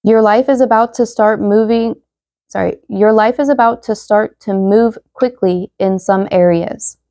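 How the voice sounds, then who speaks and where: A young woman giving a casual tarot reading to viewers, chatty and full of fillers, slightly hoarse as she loses her voice. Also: medium pitch, fairly even intonation, a little slow, dark tone, no room sound, clean studio sound. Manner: chatty, many fillers, reads card messages aloud. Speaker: tarot reader.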